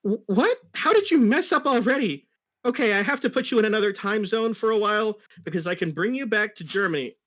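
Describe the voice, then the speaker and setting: A man's voice: high-pitched, animated, fairly quick, fairly bright tone, voice pushed up. A man voicing an eager, bossy young cartoon fox sidekick, explaining things earnestly and with exasperation in an amateur dramatic reading. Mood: exasperated.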